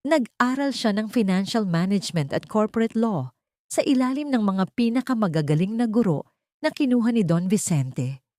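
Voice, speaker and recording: A woman's voice: medium pitch, expressive, moderate pace, a little mellow, dry recording, studio quality. A woman with a Filipino accent narrating a scripted drama story in a solemn, theatrical storytelling manner.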